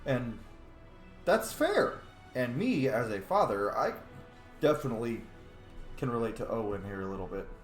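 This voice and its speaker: A man's voice: medium pitch, sing-song, moderate pace, long pauses, faint music behind. A man playing a game, casually thinking aloud and reading out his dialogue choices. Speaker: game player. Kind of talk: let's play commentary, reading dialogue choices. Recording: roomy echo.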